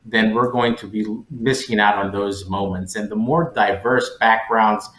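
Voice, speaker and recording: A man's voice: on the low side, steady tone, unhurried, a bit bright. A man speaking conversationally as a panelist. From Colombia-born, raised in West Virginia, United States. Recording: roomy, echoey sound.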